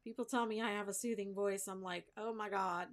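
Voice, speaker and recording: A woman's voice: mid-range voice, steady tone, fairly quick. A woman chatting casually and informally, thinking aloud in a self-deprecating way as she hosts a crafting livestream. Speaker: crochet livestream host. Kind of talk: casual rambling chat with viewers while crafting.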